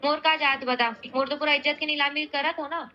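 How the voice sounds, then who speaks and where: A woman's voice: sits high, steady tone, a little fast, sharp tone. A Chhattisgarhi-accented woman speaking in an aggrieved, insistent way on a recorded phone call. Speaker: actress. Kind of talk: heated phone conversation.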